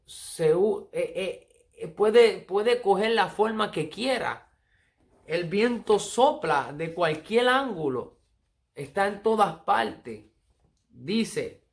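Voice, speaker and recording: A man's voice: sits high, a bit bright. A man with a Puerto Rican accent giving an earnest Bible study sermon and reading verses aloud. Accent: Puerto Rican Spanish. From Puerto Rico. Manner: earnest, teaching, reads verses aloud slowly. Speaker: preacher.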